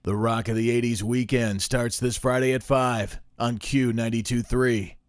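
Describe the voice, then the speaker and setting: A man reading a radio promo as an announcer, in a low-key style. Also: fairly even intonation, normal speed, dry recording, studio quality.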